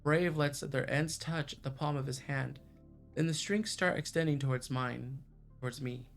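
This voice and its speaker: A male actor plays an anxious young man telling his own story in a visual novel. His voice sits in a middle register, introspective and uneasy. Music plays softly underneath. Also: mid-range voice, moderate pace, gravelly, lightly acted. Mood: tense, watchful. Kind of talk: first-person visual novel narration.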